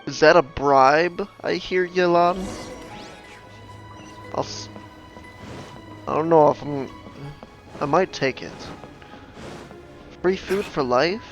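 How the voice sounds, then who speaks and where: A woman's voice: deep voice, slow pace, long pauses, highs cut off, soft background music. A woman giving casual, offhand commentary as she plays through a video game. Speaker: gamer reacting during playthrough.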